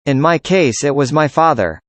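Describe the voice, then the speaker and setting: A man reading a student's part in a scripted classroom conversation, with an even, measured delivery. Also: a little high, no echo. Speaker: student in a dialogue.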